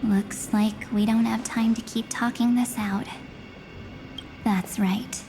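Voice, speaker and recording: A woman playing a solemn, resolute young woman with fateful powers in a dramatic video game, speaking in a measured way. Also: fairly high pitch, steady tone, over music. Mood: resolved, grave.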